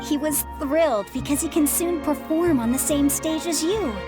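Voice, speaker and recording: A woman playing a calm, reassuring retired adventurer. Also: high-pitched, normal speed, close to an everyday voice. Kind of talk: video game voice acting. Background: game music.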